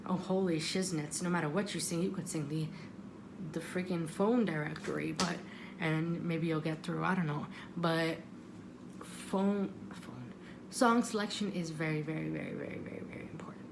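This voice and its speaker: Chatty woman with creaky voice, noisy recording. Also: low voice, normal speed. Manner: chatty, animated retelling, acting out quotes. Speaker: vlogger. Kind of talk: casual storytelling vlog. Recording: noticeable background noise.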